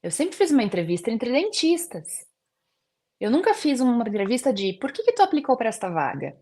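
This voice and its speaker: A woman's voice: a little high, wildly swooping pitch, brisk, mellow. A woman, a guest dentist talking earnestly in an interview, often using fillers. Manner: earnest, many fillers like "assim". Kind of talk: casual interview chat.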